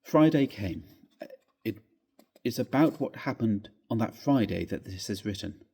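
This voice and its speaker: A man gives a measured, theatrical reading of a classic ghost story, with expressive phrasing. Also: fairly low pitch, husky. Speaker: story reader. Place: inside a church, live reading.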